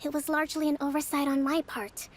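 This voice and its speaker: A woman voicing a calm young female leader of an island army, speaking in a composed, measured, authoritative way. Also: sits high, slight background noise, natural voice. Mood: gentle. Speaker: game character. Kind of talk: video game voice acting. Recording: game audio with faint music.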